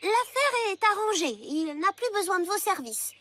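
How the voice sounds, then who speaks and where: A woman voicing a determined, scheming schoolgirl in a cartoon, earnest and quick with excuses. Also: very high voice, sing-song, pushed and bright, slight background noise, exaggerated voice. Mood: feigned innocence. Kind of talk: French animated series dubbing.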